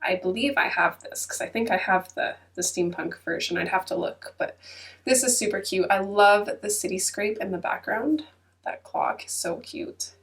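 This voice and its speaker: A woman's voice: medium pitch, normal speed, recorded from a distance. A woman hosting her own channel, chatting casually over a flip-through with a congested, stuffy-nosed voice and plenty of ums and hedges. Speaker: channel host. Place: at her computer.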